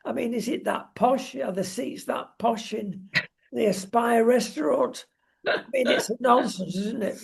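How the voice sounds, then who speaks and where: A talk show presenter with a gravelly, husky voice, giving wry, opinionated takes in conversational talk about current affairs. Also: medium pitch, unhurried.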